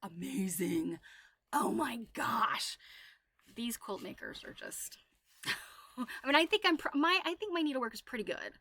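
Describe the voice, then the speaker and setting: A woman's voice: a little high, sing-song, a little slow, long pauses, sharp tone, dry recording, studio quality. A woman hosting a casual livestream, chatting and reading aloud in an enthusiastic, exclaiming way. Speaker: livestream host.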